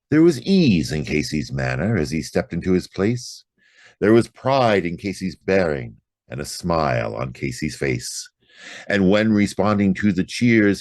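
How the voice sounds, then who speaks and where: A man's voice: low-pitched, dry recording, studio quality. A man reading narrative poetry aloud in a theatrical, emphatic dramatic recitation with big dynamic swings. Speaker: poetry reader.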